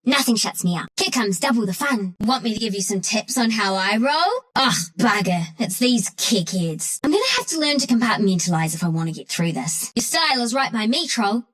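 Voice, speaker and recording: A woman's voice: medium pitch, animated, a little fast, few pauses, fairly bright tone. A woman voicing a cheeky, scrappy young squirrel trickster for a video game, in a slangy, cocky manner. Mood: cheeky, blunt. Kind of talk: video game character voice lines.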